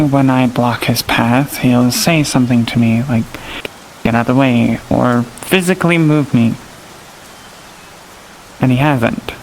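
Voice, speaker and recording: A man improvising in-character roleplay dialogue with polite, formal phrasing. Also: mid-range voice. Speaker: role-player in a VR game. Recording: clearly noisy with rain-like hiss.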